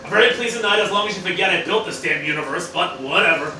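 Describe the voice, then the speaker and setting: A man's voice: high voice, expressive, quick pace. A man playing an arrogant, scheming old cartoon scientist grandfather, ranting and swearing through a comedic amateur Shakespeare parody. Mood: boastful. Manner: ranting, swearing, declamatory, sometimes stumbling over lines. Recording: roomy, distant mic, noisy room.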